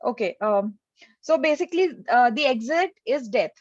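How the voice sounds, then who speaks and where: High-voiced woman explaining on a call. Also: expressive, normal speed, no room sound, highs cut off. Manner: explanatory, many fillers and hesitations. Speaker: presenter of an insurance scheme. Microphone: video call.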